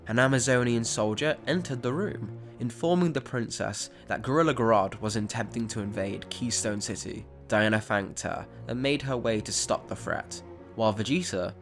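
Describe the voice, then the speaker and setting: A man's voice: on the low side, a bit bright. Male narrator for a dramatic tale, scripted storytelling delivery, light music bed.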